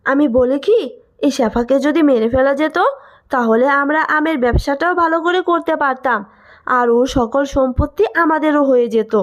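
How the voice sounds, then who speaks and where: A woman's voice: high voice, natural voice. A woman voicing a greedy, scheming village aunt in a cartoon melodrama, with a wheedling, spiteful manner.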